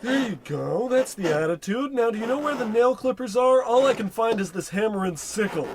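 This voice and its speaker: A man's voice: extremely high pitch, expressive, a little fast, background noise. An actor playing a cocky, bossy salesman in a parody old-time radio comedy, patronizing and oblivious. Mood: dismissive, patronizing.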